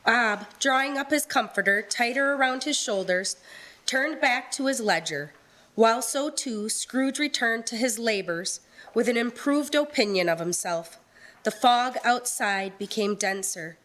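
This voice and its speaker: A woman reads scripted narration for a live radio play. Her voice sits a bit high, and she delivers it as literary storytelling. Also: animated, a little slow, fairly bright tone. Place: live community theatre performance.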